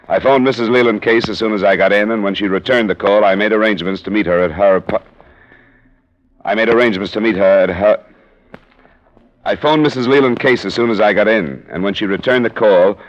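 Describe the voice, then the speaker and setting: A man's voice: on the low side, natural voice. An actor playing a hard-boiled insurance investigator who narrates his cases in a dry, matter-of-fact way, in a 1950s radio drama. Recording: muffled old radio broadcast with music.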